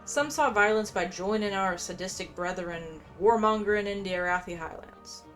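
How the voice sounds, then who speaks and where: A woman's voice: medium pitch, moderate pace, fairly bright tone. A woman doing casual gameplay commentary, chatty and musing as she plays and reads quest text aloud. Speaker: gameplay streamer. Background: faint game music.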